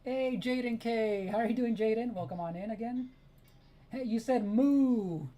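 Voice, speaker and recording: A woman's voice: a little high, moderate pace, mellow. A woman whose first language is Spanish, chatting casually with viewers on a live art stream while she draws, greeting them by name and laughing often.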